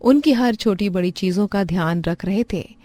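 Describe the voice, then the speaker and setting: A woman's voice: medium pitch, lively intonation, normal speed, warm tone, no room sound. A woman narrating a scripted bedtime moral story as storyteller.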